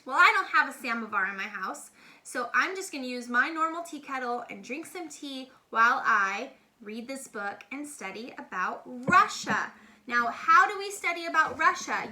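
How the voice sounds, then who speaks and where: A woman's voice: sits high, background noise. A middle-aged woman speaking casually and enthusiastically to children as a read-aloud host, explaining things simply, in a homemade recording. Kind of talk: casual educational talk addressed to children.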